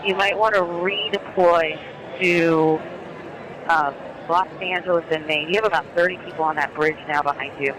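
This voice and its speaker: A man's voice: fairly high pitch, steady tone, moderate pace, a crowd in the background. A male police officer directing units over a police radio in dispatch talk. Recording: noisy police scanner, outdoor traffic noise.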